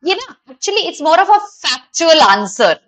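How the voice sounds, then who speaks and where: A woman's voice: extremely high pitch, big pitch swings, fast talker, a bit bright. A young woman with an Indian accent teaching an online exam prep lesson to live students, instructional and slipping between English and Hindi. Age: young adult.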